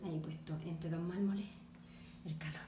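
A woman's voice: low-pitched, steady tone. Woman narrating a hands-on DIY project. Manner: halting, talks while working, frequent restarts and soft asides. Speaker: DIY video maker. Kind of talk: step-by-step craft demonstration. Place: home kitchen, indoors. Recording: echoey room with background noise.

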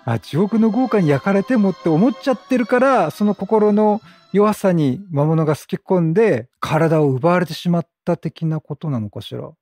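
A talkative man gives let's-play commentary, reacting as he goes. His voice is husky, fairly high and animated, with soft music behind. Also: moderate pace, few pauses. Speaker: game streamer. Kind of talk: chatty let's-play commentary, reading dialogue aloud.

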